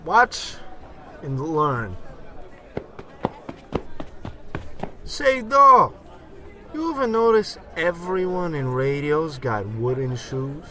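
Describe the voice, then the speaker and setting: A fairly high, swooping male voice acted by a man plays an eager old-time barfly. He is halting and slangy, a wisecracker who hesitates his way through a radio comedy. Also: sing-song, bright, forceful tone, some chatter behind, heavily acted.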